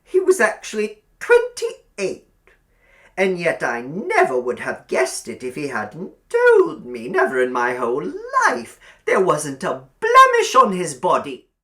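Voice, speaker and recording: A man voicing a sweet, eerily doting middle-aged landlady in an audiobook, fussy and gushing, with drawn-out emphasis. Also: high voice, wildly swooping pitch, pushed and bright, voice pushed up, exaggerated voice. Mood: gushing, admiring. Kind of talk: audiobook character acting.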